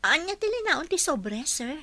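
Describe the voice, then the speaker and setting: A woman's voice: high-pitched, very animated, moderate pace. An actress with an Ilocano Filipino accent playing a respectful, puzzled young female employee in a radio drama. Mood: puzzled, polite.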